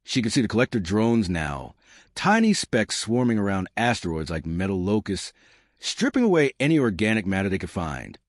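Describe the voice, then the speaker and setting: Male narrator for sci-fi adventure. Low pitch, lively swings, dry close sound, dramatic and measured. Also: no echo. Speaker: audiobook-style story narrator.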